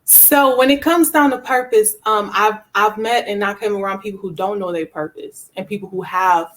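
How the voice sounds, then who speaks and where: A woman's voice: mid-range voice, moderate pace, fairly bright tone. Earnest woman guest with mid-range voice. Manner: earnest, emphatic, circles back over key words. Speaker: interview guest, author. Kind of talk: conversational, motivational faith talk.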